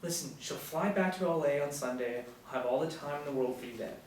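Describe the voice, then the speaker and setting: A smooth, self-assured young businessman boyfriend, read by a man at a table reading. His voice is on the high side and comes from off the mic in a very echoey room. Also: quick pace, lightly acted. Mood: hushed, placating. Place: small indoor room, seated group. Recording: very echoey room, distant mic, faint noise.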